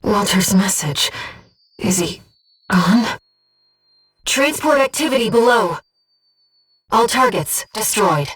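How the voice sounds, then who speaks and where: A woman voicing a calm, earnest, gentle disembodied female guide for a pilot in a video game, with measured, precise delivery. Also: mid-range voice, husky, far from the mic, full character voice. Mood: hushed, solemn. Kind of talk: scripted video game voice acting, mission support.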